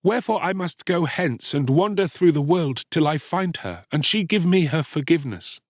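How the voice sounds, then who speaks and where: A male narrator plays a proud young boy from a fairy tale. His pitch sits high and moves widely, and his words are archaic and formal. The recording is close and dry. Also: animated, normal speed, dry recording. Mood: remorseful, earnest. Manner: archaic, formal storybook speech. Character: proud, scornful young boy, cruel then remorseful.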